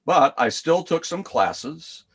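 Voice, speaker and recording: Older Southern-accented man, folksy and relaxed, former teacher. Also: a little high, slow pace, slightly rough. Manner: folksy, laughing easily. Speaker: guest, former college teacher. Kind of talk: casual video call chat.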